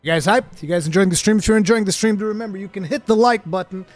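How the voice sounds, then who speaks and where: Portuguese man, native Portuguese, American-sounding accent, casual streamer chat, slightly high voice, faint music behind. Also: fast, dry recording. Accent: American-sounding English. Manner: excitable, laughs often, says dude a lot. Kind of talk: casual live-stream chat reading viewer questions.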